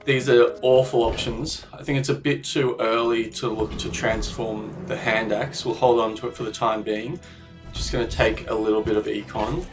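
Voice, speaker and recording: A man, slightly croaky from a cold, commentating his own gameplay and thinking aloud about strategy in a self-deprecating way. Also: medium pitch, steady tone, moderate pace, recorded from a distance, over music. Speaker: gaming channel host.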